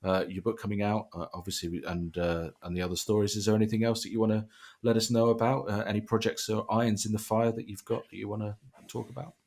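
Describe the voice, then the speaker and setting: Podcast host, male, low voice, halting and wordy delivery in relaxed conversation. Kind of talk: casual podcast chat.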